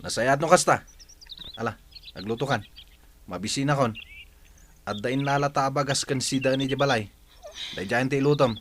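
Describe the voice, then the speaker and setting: A man's voice: mid-range voice, normal speed, pauses often, fairly bright tone, natural voice. A man playing a stubborn, short-tempered young boyfriend in an Ilocano audio drama, speaking bluntly and insistently. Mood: content, directing. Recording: faint noise, clicks and birdsong.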